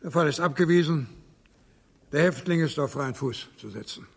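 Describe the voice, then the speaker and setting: An actor playing a stern English judge in a radio drama, delivering a ruling in a formal, deliberate, authoritative manner. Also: male voice, fairly high pitch, lively intonation, creaky, slight background noise. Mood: solemn, reluctant.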